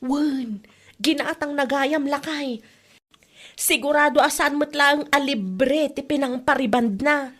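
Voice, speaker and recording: Indignant village aunt actress, high pitch, Filipino Ilocano accent, comedy radio play. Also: female voice, slow pace, fairly bright tone. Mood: disappointed. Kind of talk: comedy drama podcast acting.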